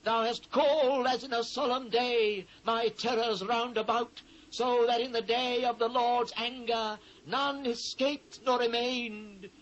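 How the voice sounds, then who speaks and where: Anguished biblical prophet, British, high voice, solemn declamation, slow delivery, for dramatised scripture. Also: male voice, fairly even intonation, a bit bright, dull audio. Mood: impassioned grief. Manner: solemn, declamatory, measured phrasing. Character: anguished biblical prophet lamenting a fallen city. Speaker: actor reading scripture. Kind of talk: dramatised scripture reading, theatrical. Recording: faint noise, old cassette transfer.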